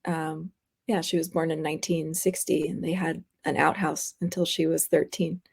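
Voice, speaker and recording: Young woman guest, kinda low voice, rambly and laughing a lot as she chats. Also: flat delivery, normal speed, vocal fry, a little mellow, husky. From United States, raised partly in France. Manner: chatty, rambling, laughs often. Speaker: interview guest, graphic artist. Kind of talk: casual interview chat.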